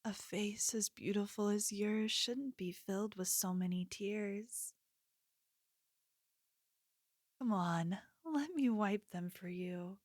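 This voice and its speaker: A woman's voice: medium pitch, a little slow, few pauses, darkish tone, dry recording, studio quality, close to an everyday voice. A woman playing an obsessive, possessive young yandere in an ASMR roleplay, speaking intimately and coaxingly, like a lover with menace underneath. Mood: soothing, possessive.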